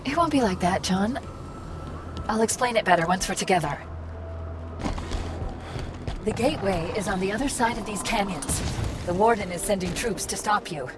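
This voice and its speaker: Measured, persuasive female AI played by a woman. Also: medium pitch, expressive, close to an everyday voice. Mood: reassuring. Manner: measured, explanatory, reassuring. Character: calm, persuasive female artificial intelligence, idealistic and controlling. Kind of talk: cinematic video game acting. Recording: music behind the voice.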